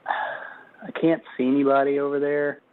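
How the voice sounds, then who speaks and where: A man's voice: on the high side, completely flat delivery, unhurried. A man making a hesitant report as a caller to emergency services over the phone. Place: on a phone call to dispatch. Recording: muffled narrowband phone call.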